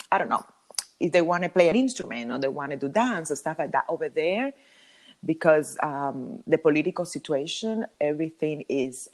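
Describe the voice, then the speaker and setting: A woman in her thirties with a Cuban Spanish accent, a native Spanish speaker, telling her story as a podcast guest with lively ums and laughs. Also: deep voice. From Cuba. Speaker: podcast guest, hair colorist. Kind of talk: casual interview talk.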